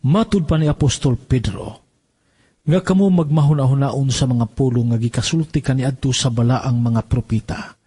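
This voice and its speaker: A man's voice: medium pitch, moderate pace, slight background noise. A man solemnly reading scripted scripture as a programme's narrator. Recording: loud music bed behind.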